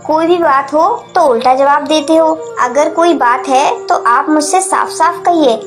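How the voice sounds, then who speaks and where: A woman's voice: high voice, measured pace. A woman voicing a kind, trusting mother sparrow in a cartoon, earnest and emotional, with music behind. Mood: worried. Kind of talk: dubbed cartoon acting.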